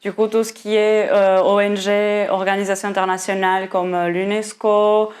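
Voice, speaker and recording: A woman in her twenties from Portugal with a Portuguese accent, answering interview questions in French with many pauses and restarts. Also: mid-range voice, little pitch movement, a little mellow. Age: 20s. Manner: hesitant, many restarts and fillers.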